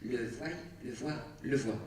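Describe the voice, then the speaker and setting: A woman's voice: rumbling low, unhurried, a little mellow, recorded from a distance, a little hiss or hum. A woman, a film director chatting with an audience after a screening, telling stories with many fillers and restarts. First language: Arabic. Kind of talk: casual talk to an audience. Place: cinema auditorium. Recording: echoey cinema hall, heard from afar.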